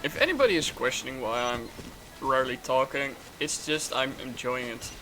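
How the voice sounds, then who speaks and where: Animated man doing casual game commentary. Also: medium pitch, normal speed. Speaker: gaming video host. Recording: game music behind voice.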